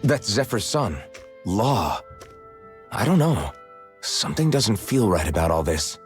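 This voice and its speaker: Young rebel hero, low register, earnest and plain-spoken, anime RPG, faint music underneath. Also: male voice, very animated, unhurried. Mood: measured, wary. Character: resolute young male rebel fighter, earnest, fantasy adventurer. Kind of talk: English-dubbed anime game voice acting.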